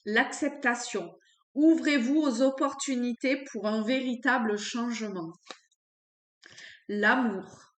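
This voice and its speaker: A woman with a cold doing an unscripted tarot reading, pausing often and searching for words. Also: on the high side, roomy sound. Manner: halting, searching for words with many fillers. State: has a cold. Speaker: tarot card reader.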